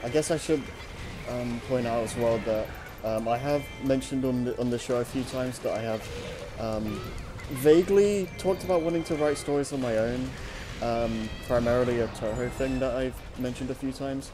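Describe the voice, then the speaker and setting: A man co-hosting a show, chatting casually and unscripted in a hesitant, halting way. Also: medium pitch, music in the background. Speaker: co-host of a story-reading show. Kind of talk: casual unscripted chat over gameplay.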